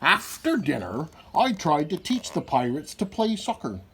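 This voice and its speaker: A man reading a children's picture book aloud in an expressive storytelling style. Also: on the high side, measured pace, slight background noise.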